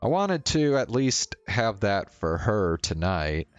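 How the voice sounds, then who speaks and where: Male streamer for visual novel reading, lowish pitch, unhurried delivery, casual asides, faint game music underneath. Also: big pitch swings, clean tone, no echo, lo-fi sound.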